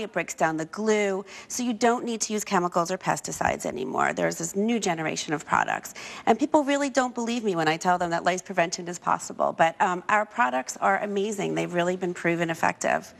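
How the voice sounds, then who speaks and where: A woman's voice: on the low side, vocal fry, in a large hall. A woman guest on a morning TV show, chatting in an upbeat, reassuring way as she promotes her business. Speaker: hair care company co-founder, TV guest. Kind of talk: casual promotional TV interview. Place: TV studio.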